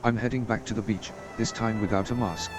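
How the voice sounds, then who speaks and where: Male actor as a shy young adventurer, self-doubting but earnest, lowish voice, over game music. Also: fairly even intonation, a little fast, natural voice. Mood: resolved. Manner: earnest, hesitant, self-reproaching. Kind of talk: game voice acting. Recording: studio voice with game music behind.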